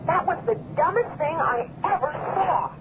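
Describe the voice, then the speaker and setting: Exasperated wife, tinny noisy line. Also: female voice, extremely high pitch, lively intonation, moderate pace. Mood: exasperated, embarrassed. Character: exasperated, anxious young wife, reproachful. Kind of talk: radio drama acting. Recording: tinny phone or radio link, noisy background.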